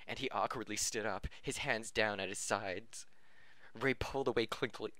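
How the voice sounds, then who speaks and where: Bright-voiced man reading aloud, close and dry. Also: lowish voice, no echo. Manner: stumbles over words, self-correcting; amused, often breaking into laughter. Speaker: podcast reader. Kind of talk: reading fan fiction aloud.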